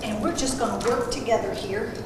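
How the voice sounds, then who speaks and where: A woman's voice: fairly high pitch, fairly quick. A woman demonstrating a holiday craft in a casual, plain-spoken, instructive way at a senior center. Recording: echoey far-off room with loud noise.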